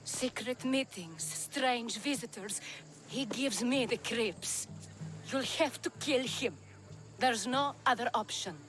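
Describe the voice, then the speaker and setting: An actress playing a worldly, flirtatious courtesan in a video game cutscene, with a languid, wry manner. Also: female voice, fairly high pitch, slow, music in the background. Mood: urgent, conspiratorial.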